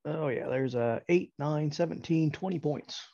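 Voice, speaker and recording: A man's voice: a little high, normal speed, vocal fry, warm tone, dry recording. A man playing a tabletop role-playing game, talking casually through his rolls on slightly muffled audio.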